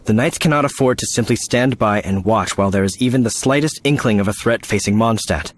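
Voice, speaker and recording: A man playing an earnest, dutiful knight officer in a video game, formal and measured. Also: lowish voice, a little hiss or hum, natural voice. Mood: earnest, concerned.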